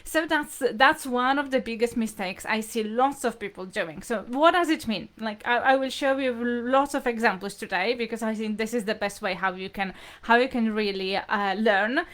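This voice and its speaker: A woman speaks as a language teacher on a live stream. Her voice sits fairly high. She explains informally to her viewers, often circling back and repeating her phrasing as she searches for words. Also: moderate pace, fairly bright tone. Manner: hesitant, repetitive, explanatory phrasing. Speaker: language teacher hosting livestream lesson.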